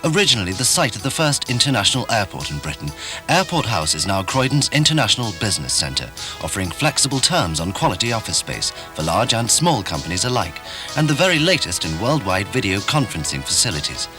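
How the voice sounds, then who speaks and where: A man's voice: on the low side, very animated, fast talker, music in the background. A man reading scripted corporate promotional narration for an advert. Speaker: advert voice-over.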